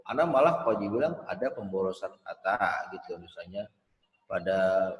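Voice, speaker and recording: A man giving a casual webinar talk on emcee skills, rambling a little and sounding out tricky words as examples. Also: on the low side. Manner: loose, rambling explanations with spoken pronunciation demos. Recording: roomy with long reverb.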